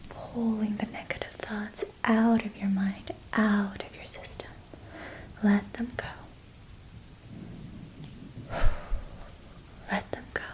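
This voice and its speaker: A young woman guides an ASMR relaxation in a soft, breathy whisper. She speaks very slowly and evenly, and her voice sits a little high, in a flat, hushed tone. She repeats phrases softly and steadily. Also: rough recording. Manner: soft unvoiced whisper, slow repetitive soothing phrasing. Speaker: ASMR creator.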